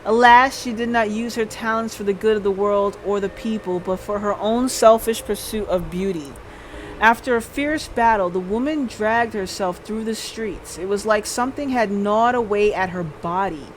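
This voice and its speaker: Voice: mid-range voice, normal speed, a little hiss or hum, rough recording. A game streamer reading a visual novel aloud as dramatic narration, sometimes stumbling and rereading.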